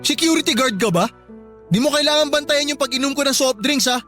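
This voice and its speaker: In a radio drama, a hot-tempered young boyfriend, voiced by a woman, snaps insults and sarcastic orders. The voice sits very high and bright. A faint bed of music plays underneath. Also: brisk. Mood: sarcastic, demanding. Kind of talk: Tagalog radio drama acting.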